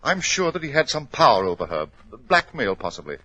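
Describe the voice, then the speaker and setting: An actor playing a tense, indignant and evasive English doctor in 1940s radio drama, with a clipped, halting manner. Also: male voice, medium pitch, sing-song, bright, forceful tone. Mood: agitated. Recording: muffled 1940s radio recording.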